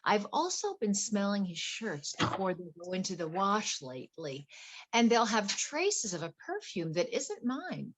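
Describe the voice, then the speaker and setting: A woman's voice: mid-range voice, sing-song, unhurried. A woman playing a wealthy, anxious wife in a play reading, earnest, polite and flustered. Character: wealthy, anxious wife confiding fears about her husband.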